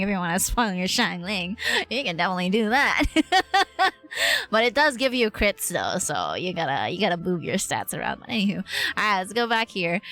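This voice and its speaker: A woman's voice: medium pitch, fairly bright tone. A woman livestreaming a game with casual, playful, slangy commentary, chatting to herself and to her viewers.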